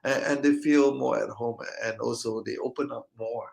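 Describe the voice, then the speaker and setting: Male host, gravelly voice, upbeat and stop-start, filler-heavy. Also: mid-range voice, fairly even intonation, darkish tone. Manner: halting, many fillers, upbeat. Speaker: interview host. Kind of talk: casual interview chat.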